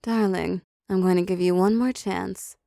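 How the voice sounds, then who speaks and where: A woman playing a seductive, possessive female demon in an intimate roleplay audio drama, talking slowly and teasingly to the listener with condescending endearments. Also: medium pitch, steady tone, vocal fry, dark tone, no echo, studio quality, close to an everyday voice. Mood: coaxing, menacing.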